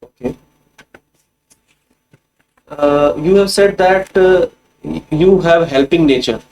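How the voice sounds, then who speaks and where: Indian guy on an interview panel, slightly high voice, measured formal questions, probing. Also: a little mellow, muffled recording.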